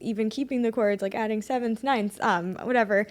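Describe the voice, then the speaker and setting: A woman's voice: fairly high pitch, fairly quick. A young woman songwriter answering interview questions in a hesitant, casual way, with many ums and likes. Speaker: guest songwriter.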